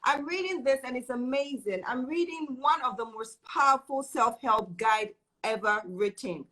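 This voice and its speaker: A woman hosts a casual live stream with a bright, forceful voice set a little high. She gushes with praise and encouragement and repeats her phrases for emphasis. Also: moderate pace, rough recording. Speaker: host of a live online show.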